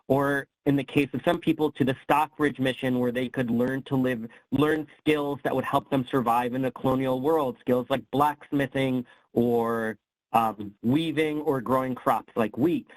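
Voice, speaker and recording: A man's voice: medium pitch, fairly even intonation, a little slow, dry recording, poor audio. A man giving an online history lecture, explaining with frequent ums and self-corrections as he loses his voice. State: losing his voice. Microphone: computer mic on a video call.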